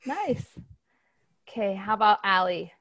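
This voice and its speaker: A woman's voice: mid-range voice, expressive, a little slow, a bit bright. A woman in her thirties hosting a podcast and moderating a panel, upbeat and enthusiastic. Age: 30s.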